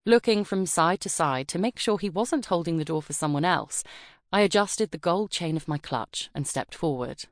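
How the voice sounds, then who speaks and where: A woman narrates a first-person novel in a measured, expressive reading voice. Her pitch sits low for a woman, and the recording is close and completely dry, as from a studio booth. The delivery is polished and steady. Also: no echo. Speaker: audiobook narrator. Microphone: close studio mic.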